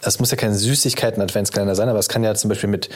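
A man co-hosting a podcast in casual chat, telling stories in an animated, slangy way and asking teasing questions. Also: lowish voice, racing. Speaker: podcast co-host.

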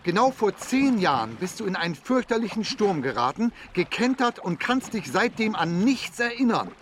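An actor playing an earnest, insistent and anxious guardian in a children's pirate radio play. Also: male voice, sits high, very animated, normal speed, fairly bright tone, slight background noise. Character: earnest, anxious pirate-tale family man, gentle guardian.

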